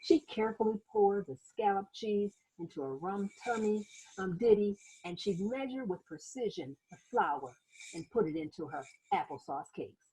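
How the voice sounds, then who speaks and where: A woman reading a children's picture book aloud as host, in an expressive storytelling manner with little asides to young listeners. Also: mid-range voice, moderate pace, husky, rough recording.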